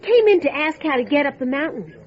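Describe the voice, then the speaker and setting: Casting: actress as sassy diner waitress, radio play, extremely high animated voice, dull recording. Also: female voice, fairly quick. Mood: offhand. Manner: casual, wry. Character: offhand, sassy small-town diner waitress. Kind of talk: old time radio drama acting. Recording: dull lo-fi audio.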